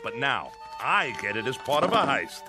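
An actor playing a sarcastic, streetwise small-time crook who grumbles and wisecracks in a radio drama. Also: male voice, mid-range voice, very animated, normal speed, music in the background, lightly acted. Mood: grumbling.

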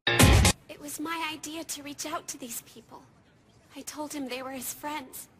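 A woman playing a guilt-ridden, grimly determined young woman in an anime dub, hushed and bitter. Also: high-pitched, steady tone, a little slow, faint music behind. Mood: anguished, bitter.

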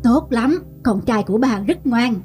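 An actor playing a gentle, caring young father in a cartoon family drama. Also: very high voice, lively intonation, moderate pace. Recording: music behind.

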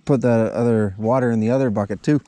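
A man's voice: medium pitch, fairly even intonation, fast, mellow. A young Canadian man chatting casually as the host of a fishing vlog. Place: outdoors in a canoe on a lake. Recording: noisy outdoor action camera recording, clicks.

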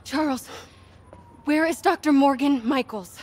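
A woman's voice: high-pitched, a little slow, darkish tone. An actress playing a young woman reporter sneaking around, with tense, urgent whispers. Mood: pressing. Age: young adult.

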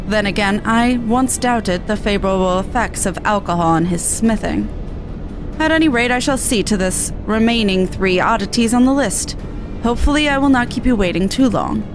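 A woman's voice: on the high side, lightly acted. A woman reading game dialogue as a haughty, wordy male scholar with formal, sarcastic airs. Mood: formal, condescending. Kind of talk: game dialogue read aloud in character.

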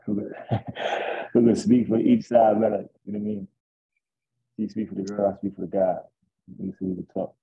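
Young man, low gravelly voice, husky, laid-back bragging, tinny video call. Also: flat delivery, moderate pace, pauses often, mellow. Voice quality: deep raspy voice. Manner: laid-back, boastful, rambling. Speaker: rapper guest. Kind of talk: casual interview. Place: indoors at home.

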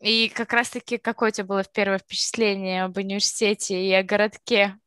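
A young woman hosts an interview over a video call, speaking into a headset in a dry, close sound. Her voice sits at an ordinary middle pitch, and she builds her questions slowly, with pauses and fillers while she looks for the right words. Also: level tone, fairly bright tone, clear, resonant tone, no room sound. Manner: hesitant, searches for words with fillers. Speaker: interviewer, host.

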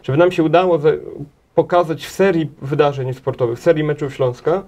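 A man's voice: on the high side, fairly even intonation, a little fast, a little mellow. Guy in his 30s, tv studio interview guest, keeps restarting his sentences, table mic. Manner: hesitant, many restarts and repeated words. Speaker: interview guest, head of a transport association. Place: small TV studio.

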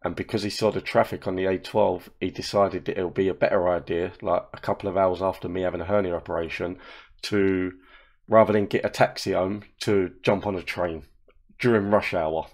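Croaky, deep-voiced man. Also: steady tone, a little fast, darkish tone. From England. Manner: rambling, chatty storytelling with laughs. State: hoarse, croaky voice. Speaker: animation creator and host. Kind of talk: casual commentary. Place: indoor room. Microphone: wearing headphones, webcam.

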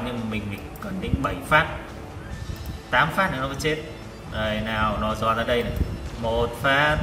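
A man giving live gameplay commentary, exclaiming and repeating short phrases as he plays. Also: medium pitch. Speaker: gaming vlogger playing a tank game. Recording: distant mic, echoey reverberant room. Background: loud game music.

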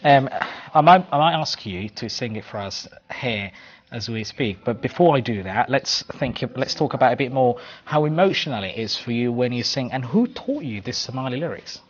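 A man hosting a television studio interview, asking questions in a measured way and switching between languages. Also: medium pitch, expressive, slightly rough, highs cut off. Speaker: TV host. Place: dark television studio, seated.

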